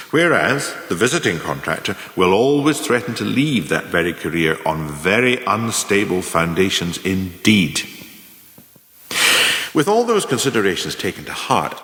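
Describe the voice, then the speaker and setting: Casting: male actor as cynical, self-assured career mentor for radio play; formal rhetorical lecturing, highly varied intonation, heavy hall reverb. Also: pushed and bright. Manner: formal, rhetorical, deliberate emphatic phrasing. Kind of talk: scripted dramatic monologue, like a lecture of numbered lessons. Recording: very echoey, long hall-like reverb.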